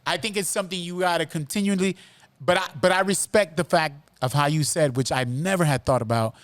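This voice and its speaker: A man's voice: fairly high pitch, a little fast, no echo. A man hosting a casual podcast chat, speaking earnestly and often repeating words as he starts a thought. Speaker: podcast host. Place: Miami.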